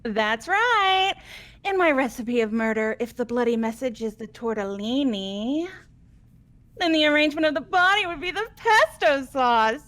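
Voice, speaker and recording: A high-pitched, wildly swooping young murderess from a video game. She is manic and flamboyant, boasting gleefully and taunting with theatrical flair. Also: expressive, normal speed, fairly bright tone. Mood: boastful, taunting. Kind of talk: amateur game voice acting.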